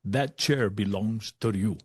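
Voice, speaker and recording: Guy doing a kenyan mentor voice, calm and encouraging, slow and earnest, dry close sound. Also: lowish voice, husky, dry recording. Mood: reassuring. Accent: attempted Kenyan.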